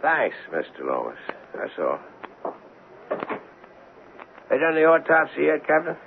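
A dogged, skeptical police sergeant in an old radio play. His gravelly voice swings widely in pitch as he probes in clipped cop talk, over a thin, phone-like recording. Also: huge pitch swings, normal speed, exaggerated voice. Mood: brisk, probing. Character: dogged, suspicious, blunt male police sergeant. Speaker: actor in a radio mystery drama. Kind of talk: 1970s radio drama acting. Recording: muffled, band-limited, noisy old radio recording.

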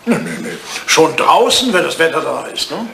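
An actor playing an ageing English lord, fussy, wry and a little vain, in a retro radio comedy. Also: male voice, a little high, very animated, normal speed, gravelly, pushed and bright, full character voice. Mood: fussy, genial. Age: older. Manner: fussy, hesitant, digressive. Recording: roomy, noisy vintage radio play recording.

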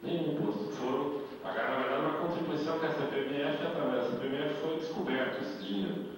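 A man's voice: medium pitch, fast talker, a little hiss or hum. A middle-aged man with a Brazilian Portuguese accent giving a lecture on a handheld mic, explaining step by step and tagging rhetorical questions. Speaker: invited speaker. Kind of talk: lecture at a debate event. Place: indoor meeting hall. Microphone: handheld mic, filmed by a far camera. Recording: echoey hall audio from far off.

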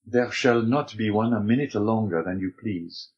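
Guy reading as a young prisoner in a classic novel, lowish voice, determined, earnest, kinda formal. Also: normal speed, highs cut off, lightly acted. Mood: eager, persuasive. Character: eager, determined young male prisoner, honourable.

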